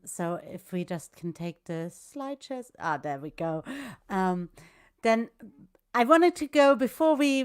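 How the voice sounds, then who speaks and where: A woman moderating an online panel, asking questions with many ums and pauses. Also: medium pitch, clear, resonant tone.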